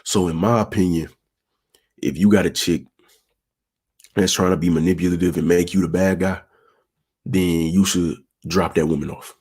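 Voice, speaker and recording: A young man hosting his channel, giving casual advice to viewers in a laid-back way, with a low, monotone, raspy voice. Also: moderate pace, long pauses. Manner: laid-back, peppered with "you feel me", talks with his hands. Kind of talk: casual advice talk answering viewer questions.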